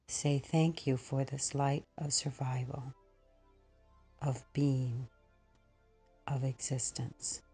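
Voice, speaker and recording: A woman leading a slow guided meditation in a breathy, husky voice that often nears a whisper, pausing between short phrases. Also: extremely low pitch, level tone, dark tone, soft background music.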